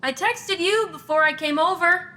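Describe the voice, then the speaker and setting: Young female actor as a sharp, take-charge supernatural investigator; high, bright voice, deadpan teasing, comedy audio drama. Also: moderate pace. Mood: dry, uneasy. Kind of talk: audio drama acting, naturalistic comedic banter.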